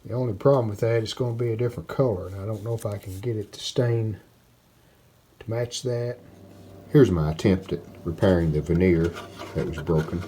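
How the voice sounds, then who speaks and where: A man giving casual, plainspoken, unhurried narration of hands-on workshop work, like a DIY video host. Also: fairly low pitch, dark tone, slight background noise. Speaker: host of a restoration channel.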